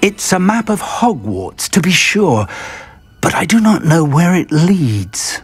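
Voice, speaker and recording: Elderly British professor, animated intonation. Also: male voice, high voice, slightly rough, faint music behind, full character voice. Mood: intrigued. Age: older. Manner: measured, formal, erudite phrasing. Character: elderly, cautious, kindly male wizard professor. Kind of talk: video game cutscene acting.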